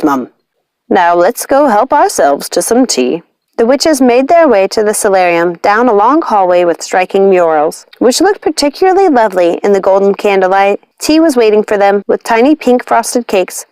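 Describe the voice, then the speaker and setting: Expressive woman reading a storybook. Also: mid-range voice, moderate pace, few pauses, smooth, dry recording. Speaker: storybook reader. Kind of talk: expressive scripted story narration.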